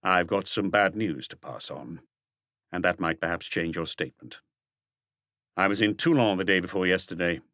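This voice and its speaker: Man playing a low-voiced, persistent police detective. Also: moderate pace, pushed and bright, no echo, studio quality. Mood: firm, measured. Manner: pointed, pressing questioning. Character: probing, persistent male police detective, firm and unhurried.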